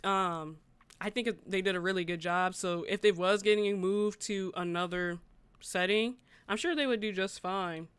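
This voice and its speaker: Girl streamer chatting casually w viewers, smooth clear voice, dry close sound, mid pitch. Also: moderate pace, a bit bright, no echo. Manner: informal, conversational, chatting with viewers. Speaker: gaming news livestream host. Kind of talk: casual livestream chat, reading articles aloud and reacting.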